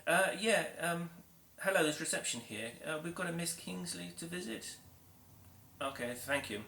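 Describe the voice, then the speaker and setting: An actor playing a polite, fussy office receptionist who hesitates with uhs. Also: male voice, on the high side, animated, moderate pace, creaky, bright, forceful tone, heavily acted. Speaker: council receptionist. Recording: echoey room with faint noise.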